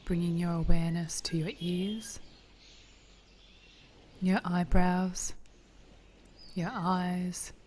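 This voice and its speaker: A woman leading a guided body scan meditation in a gentle, soothing, breathy voice that drifts toward a whisper. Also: fairly low pitch, level tone, takes it slowly, pauses often, slight background noise.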